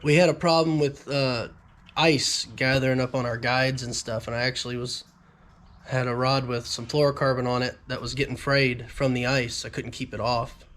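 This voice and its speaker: A man's voice: mid-range voice, little pitch movement, normal speed, fairly bright tone. A young man, a little nasal from a recent illness, rambling casually to his viewers with lots of ums as a fishing vlogger. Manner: rambling, frequent ums and restarts. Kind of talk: casual talk to camera.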